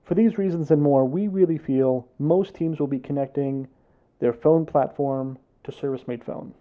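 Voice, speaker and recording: Explainer presenter: American male, slightly high voice, scripted business delivery. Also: normal speed, phone-quality sound. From United States. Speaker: presenter for a tech consultancy.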